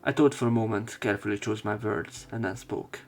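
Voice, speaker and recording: Casting: male let's player, lowish voice, reading visual novel narration over game music. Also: fairly even intonation, brisk. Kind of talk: reading translated game narration and dialogue aloud.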